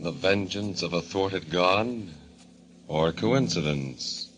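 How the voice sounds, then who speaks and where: A man's voice: deep voice, over loud music. A man narrating in dramatic 1940s radio horror style as the show's announcer. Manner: ominous, dramatic, drawn-out phrasing.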